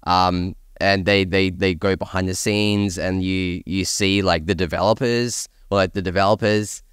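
A man's voice: deep voice, steady tone, a little slow, fairly bright tone, clear, resonant tone, dry recording, studio quality. A man talking enthusiastically and emphatically as a panelist in a casual discussion. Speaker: podcast panelist discussing films and games.

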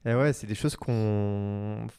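Mellow, smooth-voiced podcast host. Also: male voice, lowish voice, slow. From France. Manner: enthusiastic, hesitant, lots of fillers. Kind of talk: casual podcast interview.

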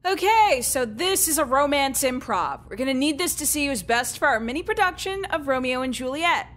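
A woman's voice: high-pitched, expressive, moderate pace, a bit bright. A woman voicing an earnest, bossy young woman who runs a drama class in a visual novel, with a directing manner. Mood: instructing.

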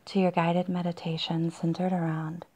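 A woman leading a guided meditation in a soft, breathy, husky near-whisper with long pauses, in a dull, muffled recording. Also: lowish voice, little pitch movement.

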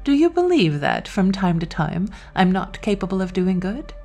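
A woman's voice: medium pitch, very animated, normal speed, a little mellow, soft background music. A woman voicing a male devil in a storybook tale, a sardonic, boastful tempter with a mocking, courtly manner. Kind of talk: dramatized storybook reading.

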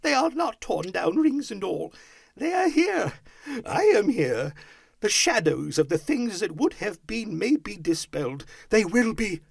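Giddy old reformed miser from a storybook, really high voice, gushing and whooping, wildly up and down. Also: male voice, huge pitch swings, normal speed, hardly any pauses, breathy edge, no echo, studio quality, full character voice. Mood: giddy joy. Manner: exclamatory, whooping, effusive.

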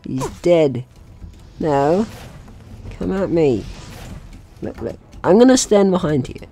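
Man commentating a game, very varied pitch. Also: animated, slow, warm tone, soft background music. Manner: chatty, jokey, talks to enemies and himself. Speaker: gaming channel host. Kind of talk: casual let's play commentary.

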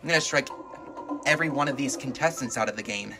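A man with a bright, forceful voice gives casual commentary over a video game. He is excitable and reacts aloud as he plays. He is recorded at home, with faint game music behind him. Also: mid-range voice, fast talker. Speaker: gaming video host. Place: indoors at home, filming a TV.

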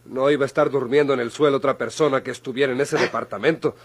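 A Mexican actor playing a commanding, suspicious lawyer with an authoritative, deliberate manner, in old radio drama style. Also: male voice, medium pitch, fairly even intonation, slight background noise, natural voice. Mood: stern, reasoning. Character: stern male lawyer, commanding and suspicious interrogator.

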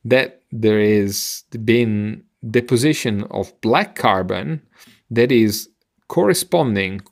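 A middle-aged man explains science on a podcast in a fairly low voice with plenty of vocal fry. He talks like a patient teacher, pausing and halting as he goes, in a conversational style. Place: indoor room on a video call. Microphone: headset and microphone close up.

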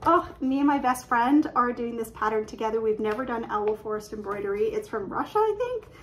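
A woman, chatting excitedly and quickly about her craft projects from her living room, filled with "um"s and little laughs. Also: on the high side, poor audio. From Canada. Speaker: cross-stitch vlogger. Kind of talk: casual show-and-tell chat. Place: living room at home, indoors. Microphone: webcam at desk distance.

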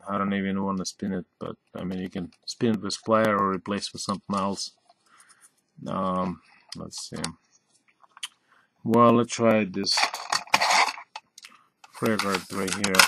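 Male reviewer, lowish pitch, plain-spoken and halting, casual demo delivery, noisy desk recording. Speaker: reviewer and channel host. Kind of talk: casual hands-on gadget demonstration. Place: at a cluttered desk indoors. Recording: clearly noisy, clicks and typing sounds.

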